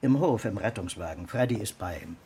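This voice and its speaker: Dry, clipped police detective. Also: male voice, lowish voice, animated, brisk, warm tone, husky, heavily acted. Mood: dry, matter-of-fact. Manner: clipped, matter-of-fact briefing. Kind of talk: naturalistic radio crime drama acting.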